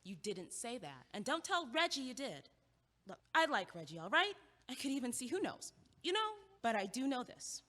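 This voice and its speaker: A woman playing a sharp, guarded, quick-witted young college student in a naturalistic comedic audio play. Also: on the high side, very animated. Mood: guarded, insistent. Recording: echoey hallway-like reverb.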